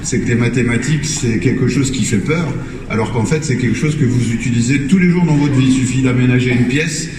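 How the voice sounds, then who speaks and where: A man's voice: mid-range voice, fairly quick, darkish tone. A man giving an enthusiastic informal public speech to children and parents, putting questions to his listeners. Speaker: speaker presenting a school maths exhibition. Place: large reverberant indoor public space. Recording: echoey hall, distant, clearly noisy.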